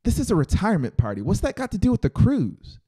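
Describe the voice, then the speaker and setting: A man's voice: high-pitched, wildly swooping pitch, fast, warm tone, breathy edge, voice pushed up, heavily acted. A man voicing an anxious, confused young boy with questioning, wavering delivery in an audiobook. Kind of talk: audiobook character voice.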